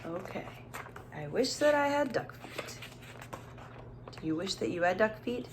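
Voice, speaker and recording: A woman's voice: mid-range voice, lively intonation, unhurried, background noise. A woman teacher reading a children's storybook aloud, expressively, with questions and asides addressed straight to young listeners.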